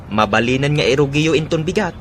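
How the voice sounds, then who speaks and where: A man's voice: on the high side, lively intonation, a little fast, lightly acted. A man with an Ilocano accent playing an eager village buddy in a radio drama. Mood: casual.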